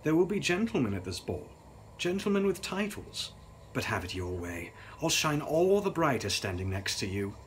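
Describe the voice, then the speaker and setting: A man's voice: on the high side, very animated, moderate pace, slight background noise, voice pushed up. A man voicing a mocking, spiteful eighteen-year-old Victorian sister in a gothic storybook reading. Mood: haughty, teasing. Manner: mocking, theatrical.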